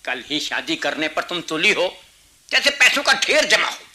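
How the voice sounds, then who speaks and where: A man's voice: on the high side, very animated, moderate pace, pushed and bright, heavily acted. An actor playing an evasive, irritable middle-aged father and husband in a radio drama, defensive and grumbling.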